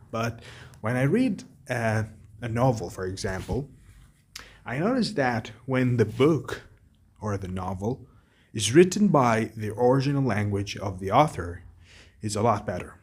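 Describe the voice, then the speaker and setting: A man whose first language is Arabic, talking in a casual, thoughtful monologue, halting often with restarts and fillers. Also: on the low side, a little hiss or hum. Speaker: vlogger.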